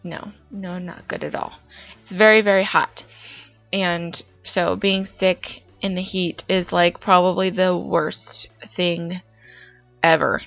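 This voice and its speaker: A woman's voice: lowish voice, level tone, slow pace, creaky, no echo. Congested young woman narrating a build. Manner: chatty, rambling with many fillers. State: congested with a summer cold. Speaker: Sims building YouTuber. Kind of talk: casual voice-over narration of gameplay. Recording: dull lo-fi voice-over with faint background music.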